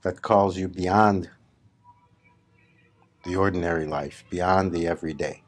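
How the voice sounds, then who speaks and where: A man with a deep voice guides a meditation as a spiritual teacher. He speaks very slowly and deliberately. His short phrases are set apart by long pauses. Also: fairly even intonation. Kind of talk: slow guided meditation talk.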